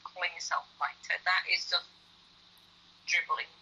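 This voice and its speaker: A woman's voice: lowish voice, completely flat delivery, moderate pace, pushed and bright, breathy edge, low-quality recording. A middle-aged woman with a Dutch accent, an author chatting casually and bluntly in an interview, often restarting her sentences. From Netherlands.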